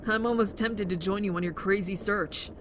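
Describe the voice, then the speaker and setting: Woman doing a gentle teen boy, thoughtful n kinda hesitant, dry wit, clear vehicle noise in the back. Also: mid-range voice, steady tone, moderate pace, close to an everyday voice. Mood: admiring, shy. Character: soft-hearted, thoughtful teenage boy survivor with dry wit. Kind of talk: naturalistic amateur audio drama acting.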